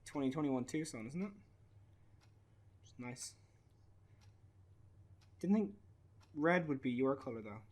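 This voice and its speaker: An actor with an Irish accent playing a grieving, bitter young father in a naturalistic radio play, halting and sweary. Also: medium pitch, lively intonation, darkish tone. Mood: cold, accusing. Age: young adult.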